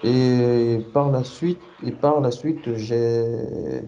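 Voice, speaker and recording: Slow, hesitant man with a very dark voice. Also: medium pitch, lo-fi sound. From Cameroon. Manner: hesitant, many fillers and restarts. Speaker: SAP consultant guest. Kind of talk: interview answers.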